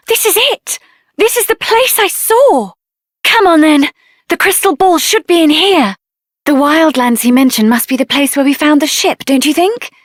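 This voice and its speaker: An actress voicing a spirited, headstrong young woman adventurer in a video game, expressive and earnest, with a tender side. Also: high-pitched, no echo, clean studio sound. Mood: urgent, alarmed.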